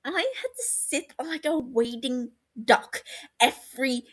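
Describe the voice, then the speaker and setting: Woman playing wounded younger brother, higher voice, scornful, mocking, heated, breathy hurt, fan fiction audiobook. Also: sing-song, takes it slowly, a bit bright, heavily acted. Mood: mocking, bitter.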